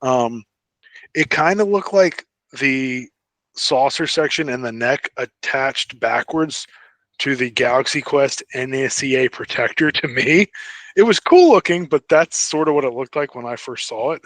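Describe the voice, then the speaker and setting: Male podcast co-host, mid-pitched, dry sound, casual and hesitant delivery. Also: expressive, measured pace, fairly bright tone, no room sound. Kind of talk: casual fan discussion.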